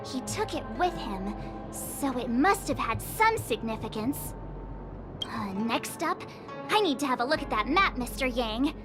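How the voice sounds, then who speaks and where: A young woman voicing a playful, eager detective heroine in a game dub, bouncy and animated. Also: high voice, a little slow. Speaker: player character. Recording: game dub over music.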